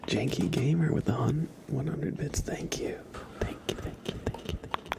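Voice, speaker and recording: A man hosting a live ASMR stream, speaking in a breathy, hushed whisper with repeated, drawn-out words. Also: on the high side. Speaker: ASMR livestream host. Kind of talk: live ASMR, thanking viewers and chatting.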